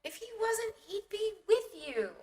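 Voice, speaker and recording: A woman's voice: very high-pitched, big pitch swings, measured pace, dark tone, heavily acted. A woman playing a naive, eager small-town young woman in a motion comic, telling her story in a chatty, wry diary style. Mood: frank, wry. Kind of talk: motion comic narration and dialogue.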